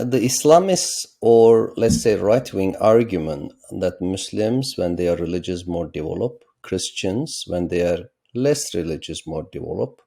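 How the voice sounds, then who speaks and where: A middle-aged man from Turkey speaks as an interview guest. His voice sits low and warm, and he explains in a measured, lecturing way, pressing hard on key words. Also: creaky, slightly rough. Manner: measured, lecturing, with rising emphatic stresses. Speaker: political science professor, guest.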